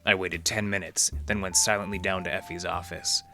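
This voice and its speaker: An actor playing a wry, deadpan library detective who narrates his case in hardboiled old radio style. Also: sits low, a little fast, faint music behind. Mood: tense, wry narration. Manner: deadpan, sardonic, slangy.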